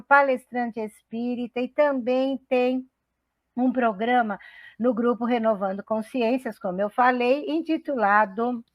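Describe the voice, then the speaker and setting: A woman's voice: on the high side, no echo. A middle-aged woman from São Paulo state, hosting a web radio talk show in a chatty, enthusiastic way, often restarting her sentences. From São Paulo state, Brazil. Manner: chatty, enthusiastic, laughs easily, many restarts. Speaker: host.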